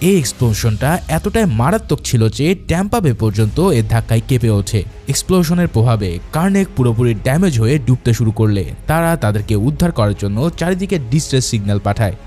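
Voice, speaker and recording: A man's voice: medium pitch, lively intonation, fast talker, dark tone. A man narrating a film's story as a scripted, dramatic recap. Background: background music.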